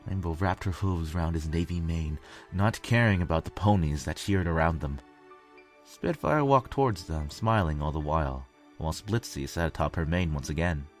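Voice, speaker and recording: A man's voice: low voice, normal speed, faint music behind. A man reading a scripted story as a dramatic narrator.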